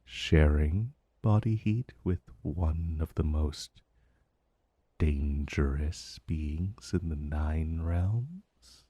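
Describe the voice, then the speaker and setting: Man voicing a very slow, smug ancient dragon. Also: rumbling low, dark tone, slightly rough, no echo, heavily acted. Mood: silky, suspicious. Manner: smug, purring, drawn-out menacing delivery. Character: ancient male dragon familiar, possessive, condescending, teasing, vaguely menacing. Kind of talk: intimate ASMR roleplay, slow and deliberate.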